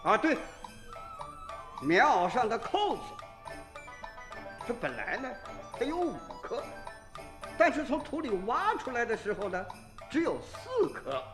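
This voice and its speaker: An actor playing a blustering, exasperated rural village head in a Chinese radio drama, talking in a folksy, roundabout way. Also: male voice, very high voice, wildly swooping pitch, unhurried, long pauses, heavily acted. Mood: explaining. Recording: roomy echo, faint music behind.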